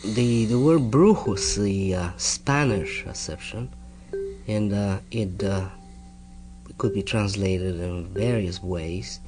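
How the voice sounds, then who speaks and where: Low-voiced, halting scholarly man. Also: rough recording. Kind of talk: unscripted explanation in an archival talk. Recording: clear music laid under speech.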